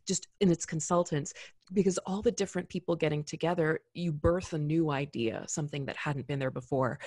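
Low-voiced young American woman, close and dry. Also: darkish tone, no echo. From United States. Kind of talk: articulate panel discussion. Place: indoors on a video call. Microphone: large desktop microphone with headphones.

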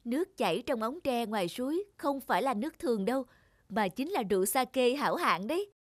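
A woman voicing an earnest, kind-hearted young woman in an animated folktale, with music behind her. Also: on the high side, brisk, dry recording, clean studio sound. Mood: insistent. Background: background music.

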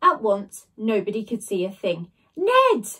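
A woman's voice: fairly high pitch, animated, measured pace, breathy edge. A woman in her thirties, a teacher, reading a storybook expressively and chatting casually. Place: small bedroom at home, indoors. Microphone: device camera at desk distance.

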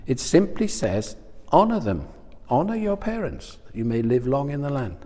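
A man's voice: medium pitch, very animated, normal speed, dark tone, in a large hall. A man gives a conversational sermon on a lapel mic, often asking his listeners questions. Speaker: church speaker. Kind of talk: sermon talk. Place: small church studio room in front of a screen.

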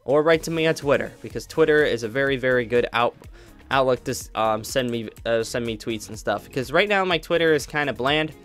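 A man's voice: medium pitch, a little fast, a bit bright, soft background music. A young man chatting casually to his viewers, rambling with many fillers and restarts. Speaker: YouTube roleplay creator.

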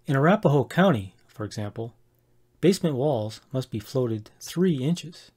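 Middle-aged man, mid pitch, measured scripted narration for a home-improvement tutorial. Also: animated, darkish tone, husky. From Colorado, USA. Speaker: homeowner explaining a DIY project.

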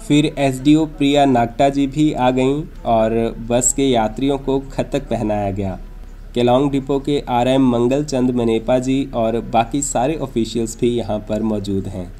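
Mellow male travel vlog narrator. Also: mid-range voice, unhurried. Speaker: travel vlogger and host.

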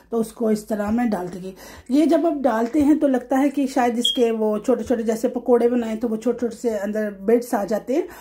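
A woman's voice: sits high, darkish tone. Chatty woman hosting a home cooking video. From Pakistan. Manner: chatty, mixes English into Urdu, frequent "theek hai ji" check-ins. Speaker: cooking channel host. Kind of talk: cooking tutorial voice-over. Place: home kitchen.

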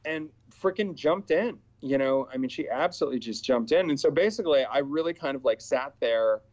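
A man in his forties recounts his time on set over a video call, slipping into the voices of the people he quotes. His voice sits at an ordinary middle pitch. The audio is a little dull and lo-fi. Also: a little fast. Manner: animated storytelling, acts out quoted exchanges. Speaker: actor guest. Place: small indoor room with purple backlight. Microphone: webcam video call.

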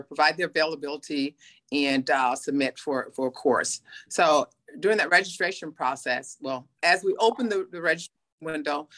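A woman program manager joining a video call from an office on her phone and clearly explaining a registration process. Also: fairly low pitch, fairly bright tone. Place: office room.

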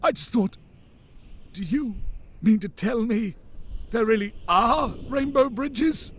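Man acting a high-voiced, humble old alien elder. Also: expressive, slight background noise, exaggerated voice. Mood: apologetic, amazed. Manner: halting, respectful, drawn-out delivery. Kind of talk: dramatic comic book reading.

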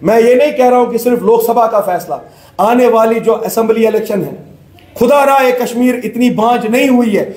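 A man's voice: very high-pitched, normal speed, darkish tone. A man, a political candidate giving a fervent speech and switching into English phrases. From Kashmir. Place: indoor press room with banners. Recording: echoey room.

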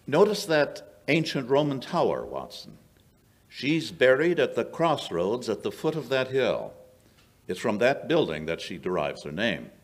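A man's voice: medium pitch, big pitch swings, unhurried. An older man playing a precise, knowing English detective in an old-time radio drama, explaining things in a declamatory way. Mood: expository. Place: small indoor stage. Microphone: stand microphone.

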